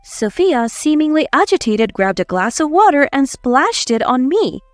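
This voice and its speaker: A woman's voice: sits high, very animated, moderate pace, no echo, studio quality, faint music behind. A woman reading a scripted first-person story as its narrator.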